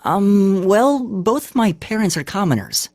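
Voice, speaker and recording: A gentle, boyish teenage lad, with an American accent. He is polite and friendly, with bouncing, expressive intonation, recorded dry for a game. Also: high voice, a little slow, no echo, exaggerated voice. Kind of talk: video game voice acting.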